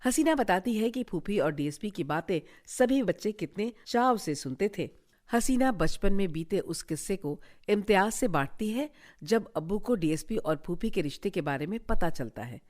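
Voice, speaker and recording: A woman's voice: medium pitch, animated, normal speed, a little mellow. A woman narrator reading a scripted recap for a radio drama serial.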